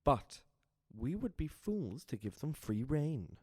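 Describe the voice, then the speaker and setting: A man playing a shrewd, wary vampire spymaster in an audio drama, speaking formally and deliberately. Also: medium pitch, big pitch swings, a little mellow, husky, dry recording, clean studio sound, exaggerated voice. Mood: calculating.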